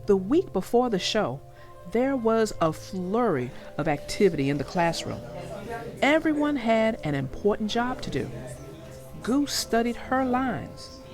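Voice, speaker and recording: A woman narrating a read-aloud story for young children with deliberate, emphatic phrasing. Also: fairly high pitch.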